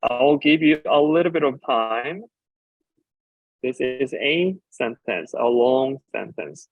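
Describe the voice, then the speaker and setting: A man whose first language is Korean gives a conference talk as a designer and ceramicist, explaining his slides with frequent filler pauses. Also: mid-range voice, lively intonation, dry recording, narrow, tinny audio. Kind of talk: conference presentation about slides.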